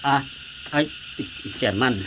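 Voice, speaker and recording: A man's voice: mid-range voice, takes it slowly, a bit bright, slight background noise, poor audio. A man with an Ilocano accent playing a hesitant, evasive village officer in a radio comedy, stammering as he goes. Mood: flustered. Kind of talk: Filipino radio comedy drama acting.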